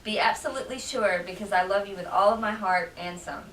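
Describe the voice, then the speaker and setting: A woman gives a dramatic reading of old letters at a live event. Her voice is bright and sits at a middle pitch. The recording is echoey and picked up from a distance, with faint noise. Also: fairly quick. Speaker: reader at a public library event. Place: library room, reading to a small gathering.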